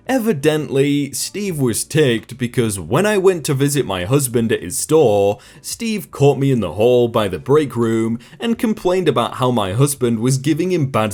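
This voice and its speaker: A man's voice: medium pitch, a little mellow. A man reading online stories aloud in a scripted narration style, adding short comments between them. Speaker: narrator reading reddit posts.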